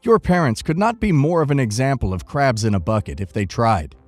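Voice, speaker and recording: A man narrating first-person online stories from a script in an expressive reading manner. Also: medium pitch, brisk, no echo, faint music behind.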